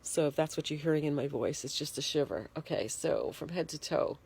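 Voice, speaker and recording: A woman in a bedroom talking frankly into a handheld phone, congested and shivering, pausing often with fillers. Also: low-pitched, creaky, darkish tone, breathy edge. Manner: plain-spoken, frank, halting with fillers. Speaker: vlogger. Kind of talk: casual personal video diary. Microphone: phone held in hand.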